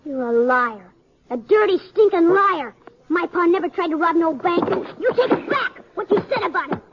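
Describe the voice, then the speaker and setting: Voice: very high voice, expressive, moderate pace. A performer playing a hot-tempered, grieving American frontier boy with rural speech in a 1950s Western radio drama. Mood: furious, anguished. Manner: rural, defiant. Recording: muffled, very noisy 1950s radio recording.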